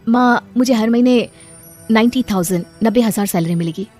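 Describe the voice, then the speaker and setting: High-pitched young woman, defiant cartoon daughter, acted by a woman. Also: normal speed, darkish tone, soft background music, close to an everyday voice. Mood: polite. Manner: indignant, protesting. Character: unmarried schoolteacher daughter near thirty, defiant yet polite. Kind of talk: Hindi moral-story cartoon dubbing.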